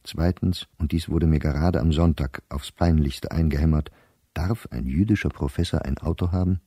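A middle-aged professor worn down and bitter, reading his diary in a radio play. His voice is very low and mellow, set close and dry. He speaks in exact, clipped entries. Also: moderate pace, husky, dry recording, heavily acted. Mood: troubled, dry. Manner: precise, clipped telegraphic diary phrasing.

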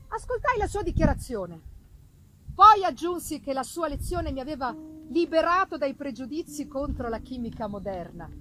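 A woman giving a theatrical first-person reading of a Gothic novel, with deliberate phrasing and dramatic pauses. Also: high voice, fairly bright tone, a little hiss or hum, rough recording. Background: live music accompaniment.

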